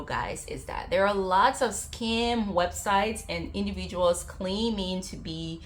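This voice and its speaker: Lower-pitched woman from Liberia, chatty vlogger. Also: slight background noise. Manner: chatty storytelling, acts out quotes with lively jumps. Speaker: travel vlogger. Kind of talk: casual explainer to viewers.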